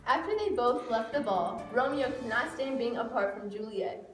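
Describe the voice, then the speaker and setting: A woman narrates a puppet show from a script in a fairly high voice. She sounds a bit distant from the mic in an echoey room, with faint music behind. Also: expressive, moderate pace.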